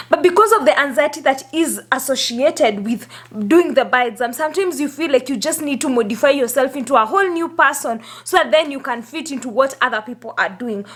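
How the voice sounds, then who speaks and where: A hoarse woman, chatting upbeat to her viewers in a casual vlog, laughing often between phrases. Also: high-pitched, fairly bright tone. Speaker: YouTuber. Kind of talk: casual vlog talk to camera.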